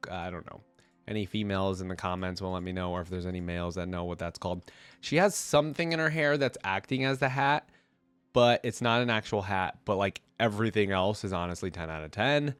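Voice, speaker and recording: A low-pitched man, stuffed up with a cold, recorded very dry and close. He hosts a casual reaction video, thinking out loud in slangy, hesitant phrases as he weighs up his opinions. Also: dry recording. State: congested with a cold.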